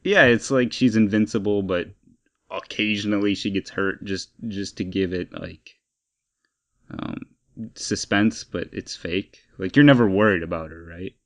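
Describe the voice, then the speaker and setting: A man's voice: on the low side, unhurried. A man co-hosting a casual pop-culture podcast, talking in an opinionated, emphatic and rambling way. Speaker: podcast co-host.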